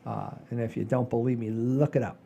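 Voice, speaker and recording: An older man with a husky, mellow voice hosts a casual podcast. He tells stories in a rambling, folksy way, animated and loose with his language. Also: mid-range voice, fairly quick, highs cut off. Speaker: podcast host. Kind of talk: casual chat and storytelling.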